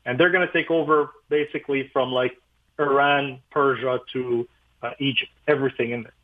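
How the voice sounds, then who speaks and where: A man's voice: mid-range voice, steady tone, normal speed. Brief: male pastor, Arabic native speaker, lecturing guest, tinny phone-line sound. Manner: emphatic, lecturing, many fillers. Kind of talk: radio interview, explaining history.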